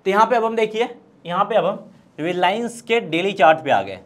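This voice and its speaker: High-pitched Indian man teaching. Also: lively intonation, normal speed. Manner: didactic, asks and answers own questions. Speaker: stock trading instructor. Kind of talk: explanatory video lesson with rhetorical questions. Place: indoor studio, presenting at a screen. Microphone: lapel.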